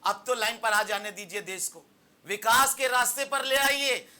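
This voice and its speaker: A man's voice: extremely high pitch, normal speed, crowd noise. A man arguing as a panelist in a heated TV political debate, insistently repeating rhetorical questions.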